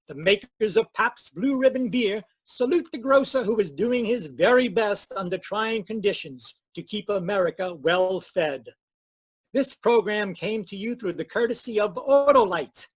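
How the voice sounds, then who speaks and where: A man's voice: extremely high pitch, exaggerated voice. A man playing a formal, showy announcer in the style of 1940s network radio.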